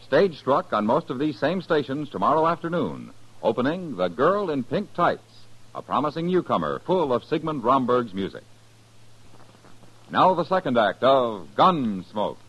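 Husky, animated old-time radio announcer man. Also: mid-range voice, normal speed. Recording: dull old radio broadcast recording.

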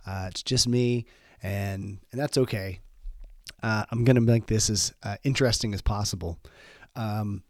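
A man with a cold hosting a solo podcast, his voice a little squeaky and stuffed up, talking in a relaxed, rambling way with many fillers. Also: unhurried, dry recording, clean studio sound. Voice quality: slightly squeaky voice. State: congested from a cold. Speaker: podcast host.

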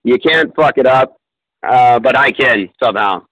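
Man, casual podcast chat, bright forceful tone, rambling, self-deprecating, lots of ums. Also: mid-range voice, moderate pace, no echo, rough recording. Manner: rambling, self-deprecating, many fillers and restarts. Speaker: podcast co-host.

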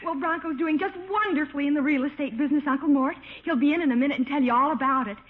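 An actress playing an adoring young newlywed niece in a 1950s radio sitcom, gushing with enthusiasm. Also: female voice, high-pitched, racing, natural voice. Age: young adult. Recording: narrow, phone-like old radio recording.

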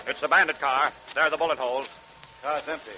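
Man acting an urgent high-pitched lawman. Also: steady tone, fast talker, close to an everyday voice. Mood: urgent, commanding. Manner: shouting clipped orders. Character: urgent, commanding sheriff leading a manhunt. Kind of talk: 1940s radio crime drama acting. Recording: noisy old 1940s radio recording.

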